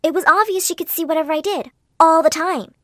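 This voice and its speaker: A woman narrating a dramatic storytime tale and voicing the characters in it, in a theatrical, animated way. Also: very high voice, brisk, no room sound, studio quality.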